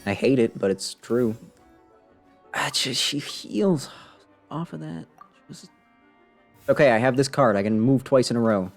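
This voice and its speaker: A man's voice: mid-range voice, unhurried, long pauses, faint music behind. A man doing casual live game commentary, grumbling wryly and talking to himself and the game. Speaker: game streamer. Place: live stream, game music behind the voice.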